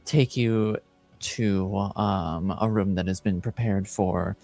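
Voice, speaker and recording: Man voicing a halting young elven servant girl. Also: low-pitched, steady tone, soft background music, pitched below the performer's own voice. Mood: polite, hesitant. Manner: halting, softly formal. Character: young female elven servant, polite, hesitant, deferential.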